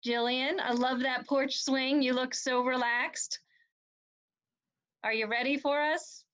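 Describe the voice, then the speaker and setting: A woman runs the announcements of a virtual club meeting in a chatty way. Her voice sits a little high, and the call audio is dull and muffled. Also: fairly even intonation, slow, fairly bright tone. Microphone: video call.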